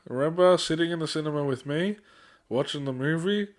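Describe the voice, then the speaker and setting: A man's voice: a little high, fairly quick. A man chatting casually as a podcast host, in an informal, mildly sweary way. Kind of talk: casual chat.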